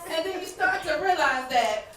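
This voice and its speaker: Woman acting sassy gossipy stage character, high female voice, emphatic, distant, heavy room echo. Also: lively intonation, moderate pace, over a noisy crowd, very noisy. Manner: confiding, emphatic, addresses the audience. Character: sassy, gossipy woman sharing infertility frustrations. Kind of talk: live stage play banter. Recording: very echoey hall, distant, loud audience.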